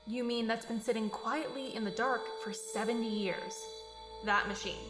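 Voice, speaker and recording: Woman playing a skeptical, wisecracking young friend, slightly high. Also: fairly even intonation, normal speed, natural voice. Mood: sardonic doubt. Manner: questioning, sardonic. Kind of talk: naturalistic audio drama acting. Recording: roomy echo with music behind.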